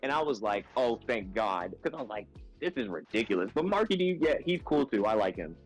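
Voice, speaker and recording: A man's voice: on the low side, big pitch swings, fairly quick, faint music behind. A man from New York casually chatting on a wrestling podcast, laid-back and full of "um" and "bro". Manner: laid-back, filler-heavy, says "bro" a lot. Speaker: podcast co-host.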